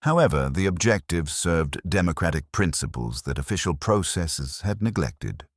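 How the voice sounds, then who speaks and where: A man with an exceptionally deep voice narrates a detective story. His scripted delivery is formal and measured, yet the intonation moves around a lot. The sound is very dry. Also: very animated, no echo. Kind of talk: scripted audiobook-style narration.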